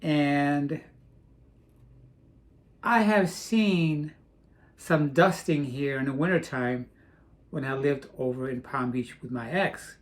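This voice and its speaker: A man's voice: on the high side, slow, long pauses. A man from Portugal chatting casually in a vlog, rambling with frequent restarts. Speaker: vlogger. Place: home in Florida.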